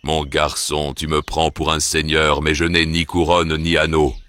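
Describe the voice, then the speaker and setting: A man playing a strange, sly old peddler of a magic bean in a children's cartoon tale, wheedling and deliberate. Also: extremely low pitch, monotone, pushed and bright, no echo, full character voice. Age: older. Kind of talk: children's cartoon storybook acting.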